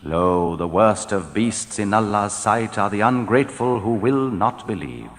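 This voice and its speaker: A man reading translated scripture in a solemn, measured, declamatory style, letting his phrases fall at the end. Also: fairly low pitch, lively intonation. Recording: long hall reverb.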